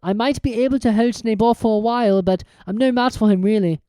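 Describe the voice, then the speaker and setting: A woman's voice: on the high side, fairly quick, warm tone, no room sound, voice pushed up, natural voice. A woman voicing a brave, worried young schoolboy wizard in a storybook reading, earnest and urgent.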